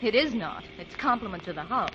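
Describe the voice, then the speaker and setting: A woman's voice: mid-range voice, expressive, brisk, lightly acted. A woman playing a wary but amused young girlfriend in 1940s radio comedy, bantering in a skeptical, teasing way. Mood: teasing, coy. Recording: muffled, noisy old radio broadcast, crowd behind.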